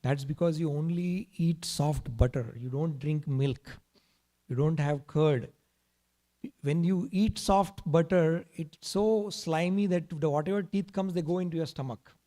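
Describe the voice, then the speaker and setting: A man voicing a doting mother who coaxes and gently explains to her small son in a storytelling. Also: a little high, a little slow, lightly acted. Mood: patient teaching.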